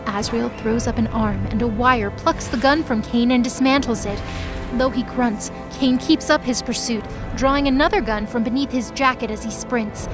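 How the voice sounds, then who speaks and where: A woman reading scripted narration for an audio drama, in the role of storyteller. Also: fairly high pitch.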